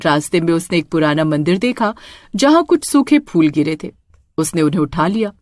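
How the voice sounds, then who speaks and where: A woman's voice: lowish voice, sing-song, moderate pace, darkish tone, no echo, studio quality. A woman storyteller reading a scripted tale in a dramatic narrating style.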